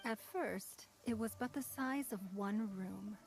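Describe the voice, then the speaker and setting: A woman playing a poised, wealthy ruler in a video game, speaking formally with calm, calculating composure. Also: on the high side, gravelly. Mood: proud, composed. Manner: formal, composed, measured, stately diction. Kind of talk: scripted video game dialogue. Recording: music behind the voice.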